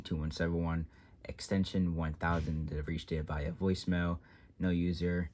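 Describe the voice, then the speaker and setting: A man's voice: low-pitched, darkish tone. A man giving an unscripted, casual walkthrough of a technical setup, rambling and often trailing off with filler phrases. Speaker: tech vlogger demonstrating an office phone system.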